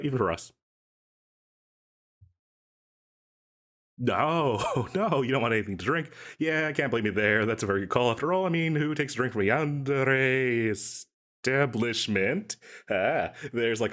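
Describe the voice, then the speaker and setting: A man's voice: mid-range voice, fairly bright tone, natural voice. A man playing an overeager, chatty and cheerfully shady salesman in a comedic audio roleplay, rambling and stammering coyly through his patter. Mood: cheerfully sheepish. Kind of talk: comedic audio roleplay, one side of a conversation.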